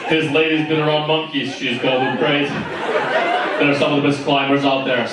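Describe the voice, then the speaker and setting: A man's voice: fairly high pitch, flat delivery, normal speed, heavy background noise. A man doing stand-up crowd work, teasing the audience and laughing often. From Romania. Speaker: stand-up comedian. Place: comedy club stage. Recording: echoey club from a distance with loud ambience. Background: audience ambience.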